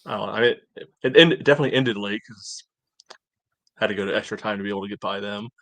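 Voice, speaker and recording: A man co-hosting a sports podcast, talking casually in a halting, conversational way. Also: lowish voice, fairly bright tone, no echo. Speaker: podcast co-host.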